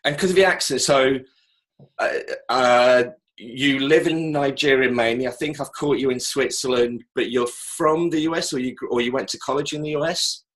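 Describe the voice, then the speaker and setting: A man's voice: medium pitch, moderate pace, a bit bright. Chatty male interviewer on a call. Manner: chatty, loose questions with asides. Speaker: interviewer, host. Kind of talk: casual interview over a call. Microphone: call recording.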